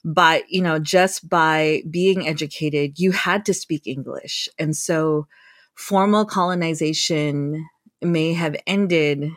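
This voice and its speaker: A woman's voice: sits low, fairly even intonation, takes it slowly, no echo. A woman researcher who grew up in the United States, speaking thoughtfully in a conversational interview and often restarting her phrases. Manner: thoughtful, with frequent restarts and fillers. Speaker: guest researcher.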